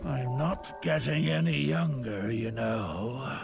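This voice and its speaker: An actor voicing a slow, gravelly old male talking tree in a game cutscene. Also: mid-range voice. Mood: weary. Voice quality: gravelly, drawn-out. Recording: game audio with music behind.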